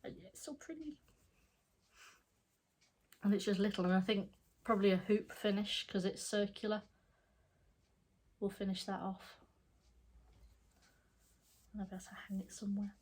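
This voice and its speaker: A woman's voice: medium pitch, breathy edge. A middle-aged woman with a stuffed-up voice, chatting casually as she shows her cross stitch projects, rambling and correcting herself. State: stuffed up, congested. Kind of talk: casual show-and-tell chat.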